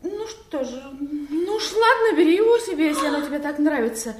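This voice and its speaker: An actress playing a haughty, grasping young princess in a fairy-tale play, pert and condescending. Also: female voice, high voice, very animated, brisk, a little hiss or hum. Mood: condescending, sly. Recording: roomy echo.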